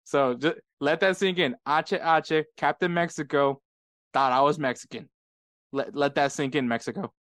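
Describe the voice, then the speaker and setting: American man, podcast co-host, animated storyteller, clear voice, dry room. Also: on the high side, normal speed, dry recording. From United States. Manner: laughing often, animated storytelling. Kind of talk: casual chat.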